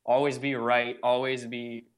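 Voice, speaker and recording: A man's voice: mid-range voice, little pitch movement, unhurried, smooth. A young adult man, a podcast guest, telling a personal story in an earnest, rambling way full of "like". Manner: earnest storytelling, frequent "like" fillers. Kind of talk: casual conversation.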